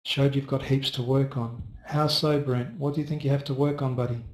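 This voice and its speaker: A man's voice: medium pitch, fairly even intonation, fairly quick. A man hosting a casual live trading webinar, chatting loosely and answering viewers by first name. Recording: roomy echo.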